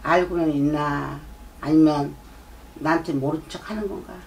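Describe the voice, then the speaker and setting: A woman's voice: low voice, fairly even intonation, normal speed, gravelly, slight background noise. Lecturing middle-aged female shaman. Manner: lecturing, repeats herself, prompts with "eh?". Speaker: shaman fortune teller. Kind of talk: fortune-telling consultation. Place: shrine room with folding screen and drum. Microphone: far camera. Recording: distant mic, faint room ambience.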